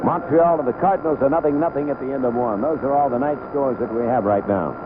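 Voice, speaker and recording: A man calling baseball play-by-play live on the radio in short, unhurried phrases. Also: medium pitch. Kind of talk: live radio sports commentary. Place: outdoor ballpark broadcast booth. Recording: tinny, noisy old AM radio broadcast.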